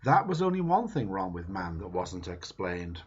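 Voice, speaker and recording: A man reading a book foreword aloud in a slow, emphatic, dramatic way. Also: low-pitched, big pitch swings, husky. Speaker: reader. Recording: dull lo-fi recording.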